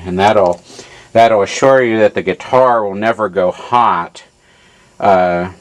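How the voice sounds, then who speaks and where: A man giving an informal hands-on technical demonstration in a halting, matter-of-fact way, as a repair hobbyist. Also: sits low. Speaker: amplifier repair hobbyist demonstrating his work.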